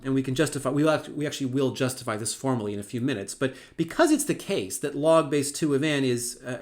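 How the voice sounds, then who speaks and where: Chatty man giving a recorded lecture. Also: mid-range voice. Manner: conversational, wry asides, frequent ums and restarts. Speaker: university lecturer.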